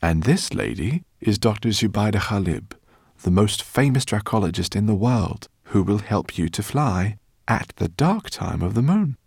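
A man's voice: lowish voice, very animated, moderate pace, creaky, darkish tone, husky, no echo, studio quality, pitched above the performer's own voice, full character voice. A man voicing a courtly, joyful professor in a storybook, speaking in a formal, effusive manner. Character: courtly, joyful male professor, a proud father. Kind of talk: storybook character voice.

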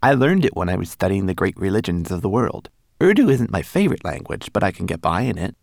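A man's voice: very animated, fast, gravelly, no echo, clean studio sound, heavily acted. A man voicing a tiny, fussy male homunculus servant who is proud and learned, with precise, formal speech. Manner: high, precise, eager and formal.

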